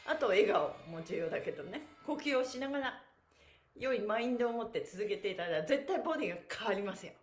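A woman's voice: medium pitch, lively intonation, fast, faint music behind. A middle-aged woman leading a live-streamed stretch class, chanting counts cheerfully and chatting with viewers. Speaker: stretch instructor. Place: small indoor studio room. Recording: echoey room.

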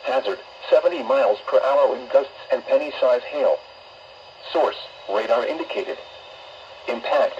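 A synthetic male announcer voice reading an automated weather warning in a formal, measured way, played through a weather radio speaker. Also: mid-range voice, darkish tone, dull audio, slight background noise. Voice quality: synthetic text-to-speech voice. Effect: weather radio broadcast.

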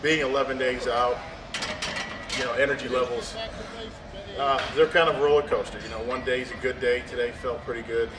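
A bodybuilder in his thirties explains his workout in a matter-of-fact way, full of trailing fillers. His voice stays level and unvaried. The sound is roomy and distant, with clear gym noise around him. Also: medium pitch, steady tone, fairly quick. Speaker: competing bodybuilder. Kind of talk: casual gym talk to camera. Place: large indoor gym. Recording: echoey gym audio from a distance.

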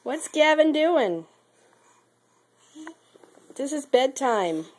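Young mum, sick, somewhat high voice, teasing sing-song baby talk, handheld home video. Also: female voice, sing-song, extremely slow. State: sick, voice lower than usual. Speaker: mother filming her baby. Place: baby's nursery, indoors. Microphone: handheld camera.

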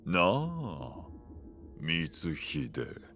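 An actor playing a cold, imperious warlord in a voice drama, speaking in a haughty, commanding manner. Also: male voice, low voice, wildly swooping pitch, extremely slow, gravelly, fairly bright tone, faint music behind, heavily acted. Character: imperious, cold warlord who rules from the top. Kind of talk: Japanese voice drama acting.

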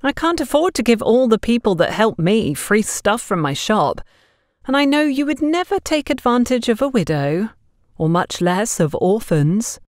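A woman's voice: a little high, sing-song, moderate pace, no echo, studio quality, voice pushed up. A woman voicing a firm, careworn widow shopkeeper in an audio story, polite but pointed. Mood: politely firm.

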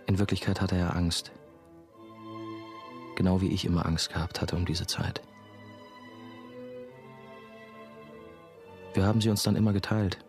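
A brooding man played by an actor tells his own story in a radio drama. The voice is low and deliberate, reflective in manner, with music playing clearly underneath. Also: fairly even intonation, lightly acted. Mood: subdued. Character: brooding male ex-novice, nursing-home worker, telling his story. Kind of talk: radio drama first-person narration. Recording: music behind the voice.

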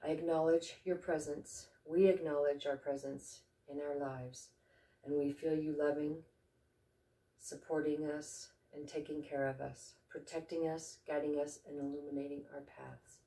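A distant, roomy recording of a woman guiding a meditation. Her voice is low and soft-edged, with little brightness. She speaks in a reverent, deliberate way, leaving space between phrases. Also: level tone. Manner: slow, reverent, deliberate with pauses. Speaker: meditation guide and ceremony host. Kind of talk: guided meditation with spoken invocations. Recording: roomy echo, distant microphone.

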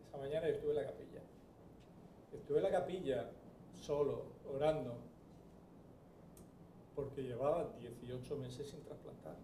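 A man's voice: medium pitch, warm tone, slight background noise. A middle-aged man from Spain with a Spanish accent, a transplant surgeon giving a hesitant, reflective anniversary speech at a lectern. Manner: reflective, hesitant with many fillers. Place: large bright event hall. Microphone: lectern microphone. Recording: roomy hall audio picked up from a distance.

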